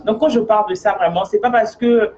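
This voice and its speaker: A woman's voice: mid-range voice, steady tone, fairly quick, a little mellow, dull audio. A woman from Africa who works as a life coach, talking in an earnest, casual monologue and often checking in with her listener.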